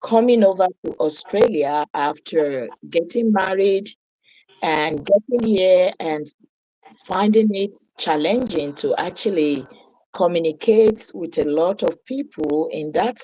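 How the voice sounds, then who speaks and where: Nigerian woman, Yoruba native speaker, low voice, slow, calm reflective telling. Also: lo-fi sound. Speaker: guest. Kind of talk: interview answers.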